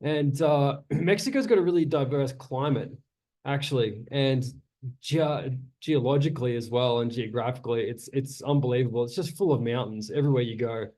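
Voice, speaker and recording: A man with an Australian accent, giving a relaxed, chatty talk as a guest speaker over a video call, with frequent uhs. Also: medium pitch, normal speed. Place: home in Chile.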